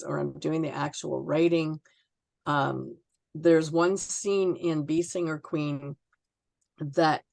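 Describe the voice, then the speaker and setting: A woman with a low voice and a gravelly creak speaks as a guest author in an interview. She is reflective and halting, pausing to find her words in a close, dry recording. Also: darkish tone, dry recording. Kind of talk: conversational interview answers.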